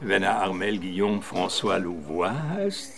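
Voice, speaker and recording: Gravelly, erudite police deputy. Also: male voice, low voice, sing-song, measured pace, fairly bright tone, heavily acted. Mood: dry, precise. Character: erudite, dry, precise male police deputy. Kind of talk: radio crime drama acting.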